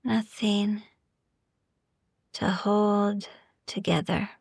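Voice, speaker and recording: A woman guiding a sleep meditation in a soft, gentle, soothing ASMR style, hushed and often slipping into whispers, with long pauses. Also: medium pitch, steady tone, gravelly, husky, no room sound, studio quality. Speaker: sleep meditation podcast host.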